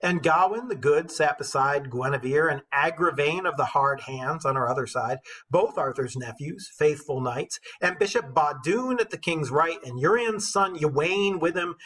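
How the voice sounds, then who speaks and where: Husky teacher reading an old poem aloud. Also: medium pitch, animated, moderate pace, hardly any pauses, fairly bright tone. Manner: dramatic storytelling emphasis in the reading, informal asides. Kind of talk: expressive poem read-aloud with casual commentary.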